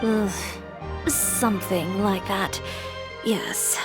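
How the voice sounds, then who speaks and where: A woman's voice: mid-range voice, steady tone, very slow. A woman playing a confident, blunt young fashion designer in a video game, with a dry, matter-of-fact manner. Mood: businesslike. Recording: music playing behind the voice.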